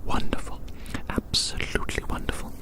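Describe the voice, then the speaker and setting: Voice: normal speed, natural voice. A whispering ASMR performer playing a fussy, curious male naturalist, repeating phrases in quaint, old-fashioned wording. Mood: fond farewell. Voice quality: breathy unvoiced whisper.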